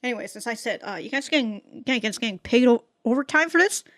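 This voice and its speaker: Lively young woman reacting with laughs. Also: on the high side, quick pace. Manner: chatty, laughing often, swears in outbursts. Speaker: anime reaction channel host. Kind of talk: casual reaction commentary. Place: small room at home, indoors.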